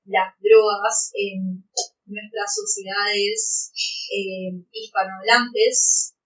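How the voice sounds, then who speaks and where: A woman's voice: medium pitch, lively intonation, very slow, fairly bright tone. A young woman hosting a casual podcast discussion. She talks hesitantly and fills gaps with "eh" and "em" as she thinks aloud. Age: young adult. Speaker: podcast host. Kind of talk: casual group discussion over a call. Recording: dull, distant, roomy sound.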